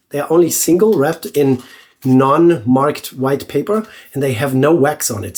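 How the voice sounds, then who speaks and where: A man with a blocked-up nose talks in a nasal, congested voice as he reviews shaving gear. He speaks casually and easily, with lots of fillers and restarts. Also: medium pitch. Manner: relaxed, chatty, with many fillers and restarts. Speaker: shaving channel host. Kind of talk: casual product review vlog.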